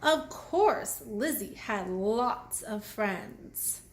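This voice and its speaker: A woman reading a picture book aloud to children, pausing to explain letter sounds and ask the young listeners questions. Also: medium pitch, animated, slight background noise. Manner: addresses young children directly with questions and commentary. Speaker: children's read-aloud host and teacher. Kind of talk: picture book read aloud with phonics asides.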